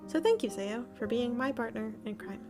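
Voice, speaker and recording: A woman's voice: on the high side, expressive, normal speed. A woman speaking informally as a podcast host, chatty, with self-deprecating asides. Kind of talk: informal thank-you announcement to listeners. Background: guitar music.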